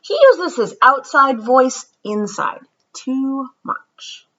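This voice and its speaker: A woman reading a picture book aloud to young children in an explanatory, expressive teacher's style. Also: high-pitched, slow, muffled recording. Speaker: read-aloud host, teacher.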